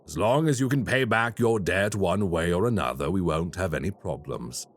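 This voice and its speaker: An actor playing a polite, quietly menacing male crime boss in an audio roleplay. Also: low voice, lively intonation. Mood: smooth menace. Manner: slow, courteous and quietly menacing.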